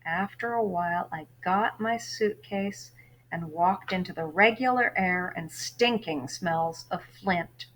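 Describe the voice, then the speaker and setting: A woman's voice: lowish voice, takes it slowly, a bit bright, poor audio. A young orphan boy voiced by a woman reading a storybook tells his own story in plain, folksy words. He grumbles with childlike exasperation, in an everyday voice. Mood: resolute. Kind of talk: first-person children's novel read-aloud.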